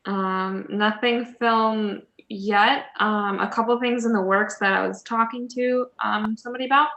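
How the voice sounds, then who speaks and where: A woman's voice: a little high, fairly even intonation, a little slow, fairly bright tone, dull audio. A woman chatting casually and informally on a group call. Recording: roomy, echoing home call audio.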